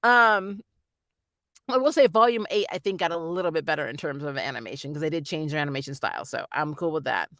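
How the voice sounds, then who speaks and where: A woman's voice: low voice, lively intonation, fast, fairly bright tone, no echo, studio quality. A woman hosting a casual, rambling review vlog, talking informally and with animation to her viewers as she shares her opinions. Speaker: anime reviewer and vlog host.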